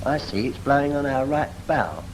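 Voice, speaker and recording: A man's voice: mid-range voice, animated, normal speed, natural voice. British novice sailor played by a man, noisy old film. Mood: attentive. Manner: deferential, short answers and questions. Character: eager, obedient novice sailor learning to steer. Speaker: trainee helmsman. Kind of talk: scripted 1940s training film dialogue. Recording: noisy 1940s film soundtrack.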